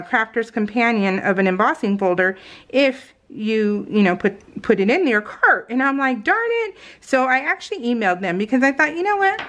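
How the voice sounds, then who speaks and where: An American woman chatting casually as a craft vlogger, telling stories in a rambling, animated way and acting out what people said. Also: on the high side. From California, United States. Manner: rambling, animated retelling with acted-out quotes. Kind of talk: casual chat while crafting, voiced in real time.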